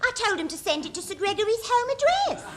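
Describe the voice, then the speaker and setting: Very high, fluting English secretary. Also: female voice, big pitch swings, fast, a bit bright, exaggerated voice. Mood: cheerfully vague. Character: scatty, chirpy office secretary who makes tea. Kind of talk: British radio sitcom acting.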